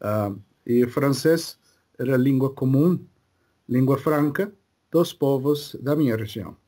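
Man voicing a calm male Cree spirit guide; very slow, broken delivery, mid pitch. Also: close to an everyday voice. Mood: solemn. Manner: slow, broken phrasing with many pauses. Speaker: medium channeling a spirit. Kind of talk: slow halting spiritual channeling.

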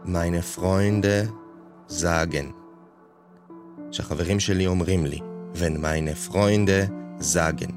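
A man speaking haltingly in an archive clip, repeating his phrases as he goes. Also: low voice, steady tone. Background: music.

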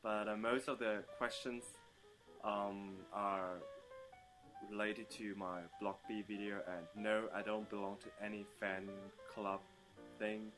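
A young man from Germany answering interview questions casually, with many pauses and ums and playful outbursts. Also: fairly low pitch, little pitch movement, music in the background, poor audio. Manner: hesitant, many ums, bursts into playful loud laughter. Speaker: interview guest.

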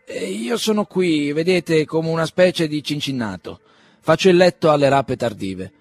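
Rich: A fussy old country doctor, full of flowery courtesy, who breaks into stammers when he gets nervous, as a male reader puts him on. He pitches his voice a touch higher and louder than plain narration. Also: animated, moderate pace, dry recording, soft background music. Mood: hearty. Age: older. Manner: effusive, stammering, ceremonious.

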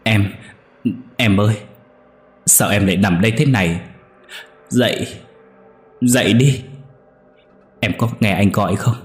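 A man's voice: lowish voice, measured pace, long pauses, echoey room, soft background music, close to an everyday voice. A man voicing a grief-stricken young man crying out over his dead brother with sobbing, pleading cries. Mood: anguished wailing.

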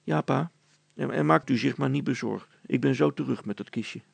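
A man's voice: mid-range voice, moderate pace, creaky, breathy edge, no echo. A man voicing an obedient, apologetic young boy in a storybook, with eager, meek replies. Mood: meek, reassuring.

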